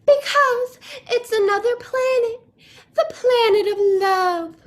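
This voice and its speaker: A young performer, a woman, voicing a farmer's indignant, melodramatic wife in a shrill falsetto for a comic radio play. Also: takes it slowly.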